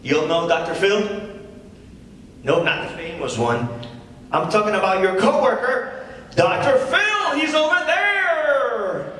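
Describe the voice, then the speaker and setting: A man's voice: sits high, very animated, takes it slowly, pauses often, sharp tone, exaggerated voice. A man acting as a cocky, defensive stand-up comedian with awkward, needling patter in a live script reading. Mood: cocky, teasing. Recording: distant echoey hall, faint noise.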